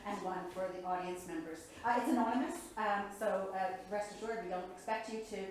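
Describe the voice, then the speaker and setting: A woman's voice: medium pitch, fairly quick. A woman chairing a panel event, speaking informally and effusively, often hesitating and interrupting herself. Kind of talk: informal spoken thanks and event announcements. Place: indoor event room. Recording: very echoey room with faint noise.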